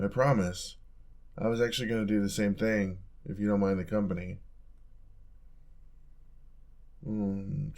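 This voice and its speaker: A man acting a low, creaky-voiced caring young roommate. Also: a little mellow. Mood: casual, caring. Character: caring, teasing young male college roommate with a crush. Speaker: audio roleplay performer. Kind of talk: intimate ASMR-style audio roleplay.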